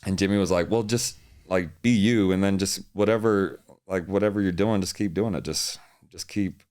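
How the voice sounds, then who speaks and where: A man's voice: deep voice, moderate pace, a little mellow. A young man from Hawaii, a fitness coach, chatting easily as a podcast guest and laughing often. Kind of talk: casual interview chat.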